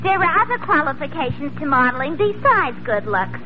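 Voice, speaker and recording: Conceited, prim single woman, high and animated, vintage radio comedy, muffled sound. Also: brisk, background noise. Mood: vain, huffy. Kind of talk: 1940s radio comedy acting. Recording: muffled old radio recording.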